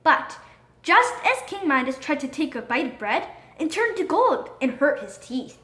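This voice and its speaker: Female narrator for children's storybook, high voice, very expressive and dramatic, recorded in an echoey room. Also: measured pace. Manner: expressive, dramatic read-aloud delivery. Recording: roomy echo.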